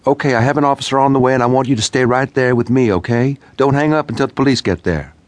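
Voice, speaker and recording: A man's voice: mid-range voice, fast talker, no echo, voice pushed up, close to an everyday voice. A man voicing a young female police dispatcher, pressing questions urgently over the line in a true-crime audiobook.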